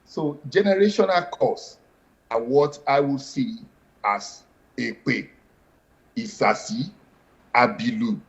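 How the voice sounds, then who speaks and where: Measured man, lo-fi sound. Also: mid-range voice. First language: Yoruba. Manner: measured, explanatory, formal wording. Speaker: guest, spiritual practitioner. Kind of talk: interview answers.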